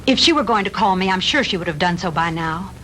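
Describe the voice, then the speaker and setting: A woman's voice: fairly low pitch, expressive, quick pace, fairly bright tone. Anxious, determined woman in old melodrama. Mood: upset, determined. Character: anxious, determined woman searching for missing sister. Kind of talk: earnest 1960s B-movie melodrama acting. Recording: noisy 1960s film soundtrack.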